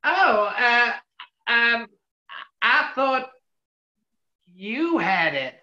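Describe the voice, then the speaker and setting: An actor playing a blunt, sceptical male amateur sleuth in a radio comedy, brisk, terse and wry. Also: medium pitch, sing-song, bright, forceful tone, reverberant, lo-fi sound, exaggerated voice. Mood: flustered. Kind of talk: 1940s radio comedy acting.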